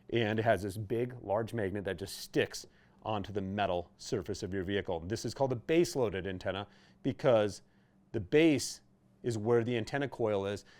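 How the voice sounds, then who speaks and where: A man's voice: on the low side, darkish tone. A man presenting an instructional video in a conversational, plain-spoken way, explaining things patiently step by step. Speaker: host of product tutorial videos for a radio retailer.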